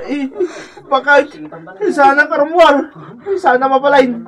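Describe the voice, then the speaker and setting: Slow-talking, whiny Filipino husband. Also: male voice, very high voice, heavy background noise. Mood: panicked, wailing. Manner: drawn-out, whiny, melodramatic. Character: hapless henpecked husband, whiny and cowering. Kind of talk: radio comedy drama acting.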